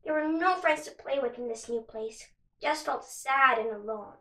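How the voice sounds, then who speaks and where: A woman giving an expressive read-aloud narration of a children's story. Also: high voice, normal speed. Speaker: storybook reader, actor. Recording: roomy, some distance from mic.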